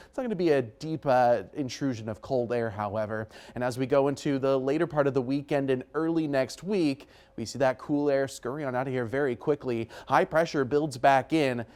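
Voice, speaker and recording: A man's voice: mid-range voice, darkish tone. A man presenting an ad-libbed TV weather forecast in a conversational, informal way.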